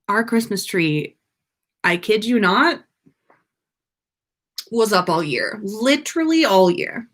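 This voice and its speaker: An American woman hosting a casual livestream, chatting to viewers in a playful, rambling way. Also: on the low side. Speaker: podcast livestream host.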